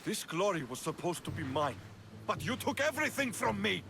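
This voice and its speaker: A man's voice: high voice, very animated, brisk, gravelly, full character voice. An actor playing a jealous young male soldier, resentful and rising in anger, in game audio with ambient noise behind him. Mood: bitter.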